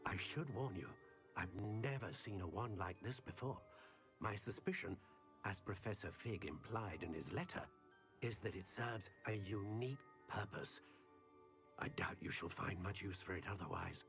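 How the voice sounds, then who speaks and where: A grave, deep-voiced wand maker. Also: male voice, a bit bright, soft background music. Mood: grave, advising. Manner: formal, measured, courteous. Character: grave, measured male master wand maker. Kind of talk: video game cutscene acting.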